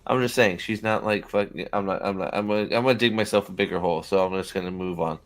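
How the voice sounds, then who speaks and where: A man's voice: low-pitched, quick pace. A man casually reacting to a TV episode, blunt and profane, rambling with many restarts. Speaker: show host.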